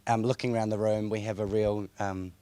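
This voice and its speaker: A young man with a croaky voice, speaking hesitantly as a councillor on a conference panel. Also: on the low side, level tone, fairly quick, dark tone. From New Zealand. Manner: hesitant, many fillers and restarts. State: hoarse, croaky voice. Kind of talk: panel discussion. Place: conference room.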